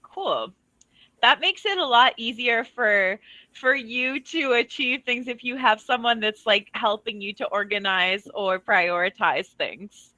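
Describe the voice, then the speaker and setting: Bright-voiced chatty woman tutor. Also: fairly high pitch, unhurried, dry recording, dull audio. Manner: chatty, laughs often, loose storytelling. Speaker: English tutor. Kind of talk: casual online lesson chat. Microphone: video call.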